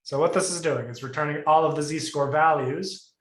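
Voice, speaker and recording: A man's voice: a little high, brisk, reverberant. A male instructor on a video call walking through a coding lesson, thinking aloud with restarts and fillers. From Puerto Rico. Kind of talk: live coding lesson review.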